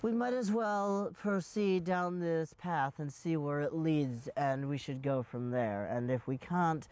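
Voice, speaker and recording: A woman playing a haughty, demanding British gentleman in improvised comedy, pompous and carefully enunciated. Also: low-pitched, measured pace, clean tone, pitched below the performer's own voice. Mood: pompous, uneasy. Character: haughty, demanding, helpless British gentleman employer, easily outraged. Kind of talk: improvised comedy role-play.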